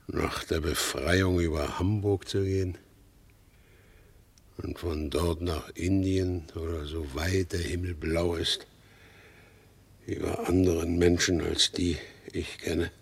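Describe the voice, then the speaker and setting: An actor in a German radio play as a proud, embittered and resigned outlaw horse dealer, speaking gravely and formally. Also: male voice, low voice, steady tone, slow pace, long pauses, heavily acted. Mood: weary, disillusioned.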